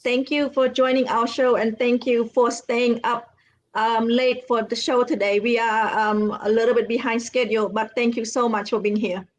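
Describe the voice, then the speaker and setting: A woman's voice: a little high, little pitch movement, normal speed. A woman hosting an online talk show, friendly and somewhat hesitant, with frequent fillers.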